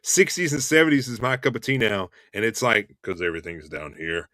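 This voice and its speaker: A man's voice: lowish voice, brisk, no room sound. Chatty young man, dry close sound. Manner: relaxed and chatty, repeats words and says "man" and "dude" a lot. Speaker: host and Elvis tribute artist. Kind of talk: casual interview chat. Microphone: video call.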